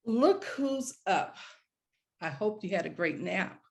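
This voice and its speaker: Retired mother, mid-sixties, played by a woman: naturalistic, middle-pitched, fond but guilt-tripping, table-read drama. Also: lively intonation, takes it slowly, vocal fry. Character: retired professor mother, sixty-five, guilt-tripping, warm but wounded. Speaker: actor in a script read. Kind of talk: live screenplay table read.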